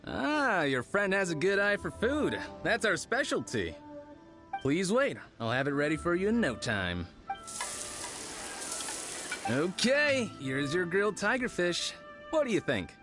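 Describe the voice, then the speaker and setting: A man's voice: sits high, sing-song, a little slow, long pauses. A man voicing a brash, confident, impatient street-food cook in a video game. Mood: proud. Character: cocky, brusque male street-food chef. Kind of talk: video game character dialogue. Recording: music behind the voice.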